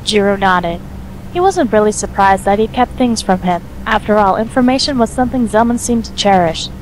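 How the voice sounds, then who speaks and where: A woman's voice: mid-range voice, lively intonation, brisk, slight background noise, rough recording. A woman reading scripted story narration as the narrator of an audio drama.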